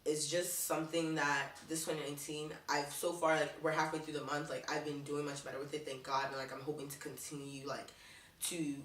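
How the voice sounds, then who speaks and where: A woman's voice: sits low, flat delivery, brisk, fairly bright tone, echoey room, far from the mic, low-quality recording. A young woman vlogging casually to camera, chatting and leaning on "like" in nearly every sentence. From Baltimore, USA. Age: young adult. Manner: chatty, leans on "like" constantly.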